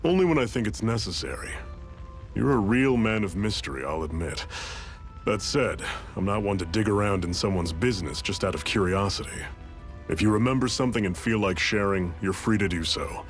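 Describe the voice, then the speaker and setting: An actor playing a middle-aged, easygoing rebel leader in a video game, measured, reassuring and plainspoken. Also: male voice, on the low side, expressive, natural voice. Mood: frank, steady. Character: seasoned, easygoing male rebel leader, fatherly and pragmatic. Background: background music.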